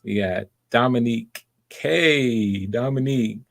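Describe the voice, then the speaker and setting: A man's voice: medium pitch, measured pace, gravelly, a bit bright, slight background noise. A man chatting casually and encouragingly as he hosts online auditions. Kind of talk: casual livestream chat.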